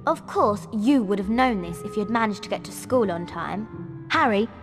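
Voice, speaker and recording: A woman's voice: mid-range voice, animated, normal speed, soft background music, close to an everyday voice. A woman with a British accent voicing a prim, bossy schoolgirl witch in a video game. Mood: scolding. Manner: prim, reproving.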